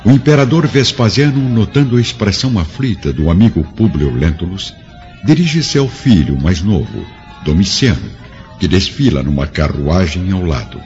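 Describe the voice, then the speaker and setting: A man's voice: low voice, big pitch swings, moderate pace, darkish tone. A man narrating a radio drama in a solemn, grandiloquent, dramatic scripted style. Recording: dull lo-fi radio drama with music.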